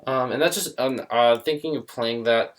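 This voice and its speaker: A man's voice: mid-range voice, normal speed. A young man talking casually to camera in a small room, thinking aloud with many fillers and restarts. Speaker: musician demonstrating an instrument.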